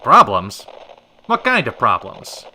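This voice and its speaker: An actor playing a sardonic, easily flustered 1930s radio call-in host with wry, old-fashioned slangy patter. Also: male voice, medium pitch, huge pitch swings, takes it slowly, sharp tone, a little hiss or hum, exaggerated voice. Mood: skeptical, sarcastic. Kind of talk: old-time radio drama acting.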